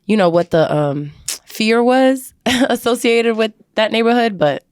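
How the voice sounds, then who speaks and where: A young woman from the Dominican Republic, a singer chatting as a podcast guest in a relaxed, talkative way. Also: on the high side, a little slow, smooth, no room sound, studio quality. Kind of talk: casual interview chat.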